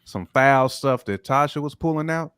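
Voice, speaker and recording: A man's voice: mid-range voice, moderate pace, fairly bright tone, clear, resonant tone, no echo. A man co-hosting a podcast in casual, slangy banter. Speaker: podcast co-host.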